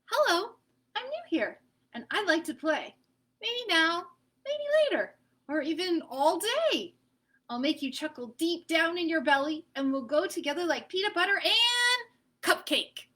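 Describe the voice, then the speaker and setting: A woman doing a high, bright little peanut butter boy, sing-song, hopeful, very lively swoops, storybook reading. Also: unhurried, heavily acted. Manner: sing-song rhyming plea.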